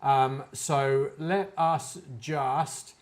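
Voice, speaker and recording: A man's voice: mid-range voice, drawn-out words. Man thinking aloud through a tech demo. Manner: hesitant, thinking aloud while working. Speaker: tech reviewer demonstrating network gear. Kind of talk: informal screen-share tech demonstration.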